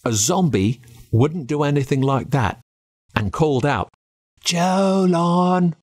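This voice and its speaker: An Australian man narrating a first-person spooky story with dramatic, expressive delivery. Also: mid-range voice. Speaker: audiobook narrator.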